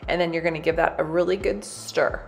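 A woman in her thirties, a cooking channel host, chatting brightly through a recipe in a home kitchen. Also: low-pitched, fairly even intonation, a little fast, creaky. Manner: bubbly, chatty, addresses viewers as "you guys". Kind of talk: recipe demonstration, voice-over and to camera.

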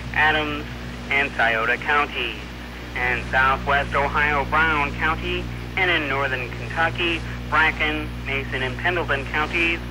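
A man announcer on weather radio, reading scripted forecasts and warnings in a formal, measured way. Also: a little high. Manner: formal, measured bulletin delivery. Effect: radio broadcast. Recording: noisy 1990s weather radio broadcast with hum.

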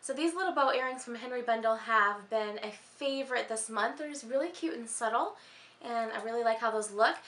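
Casting: American female vlogger, 30s, high-pitched and slightly hoarse, gushy casual chat, recorded in an echoey room at home. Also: fairly quick, a bit bright. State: slightly hoarse, losing voice from a cold. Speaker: beauty and handbag vlogger. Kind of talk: casual, chatty vlog talk to camera. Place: small furnished room at home. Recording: roomy indoor echo.

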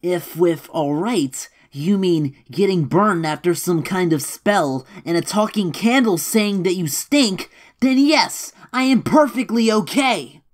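A woman voicing a bewildered, irritable amnesiac young Pikachu in an animated comic dub, exasperated and sarcastic. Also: on the low side, slow pace, hardly any pauses. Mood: sarcastic exasperation.

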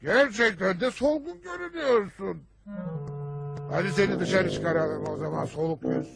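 Grumpy middle-aged cartoon dad, high voice, stingy and blustery, music going behind. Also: male voice, lively intonation, moderate pace, creaky, full character voice. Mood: teasing, needling. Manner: scolding, blustering, sarcastic. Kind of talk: dubbed cartoon acting.

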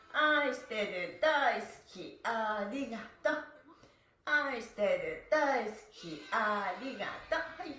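A woman's voice: high voice, a bit bright. A middle-aged woman leading a live stretch class, cheerful and laughing as she chants the counts. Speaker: stretch instructor. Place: small room at home, on a floor mat. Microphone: far camera. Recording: echoey room, voice from far off.

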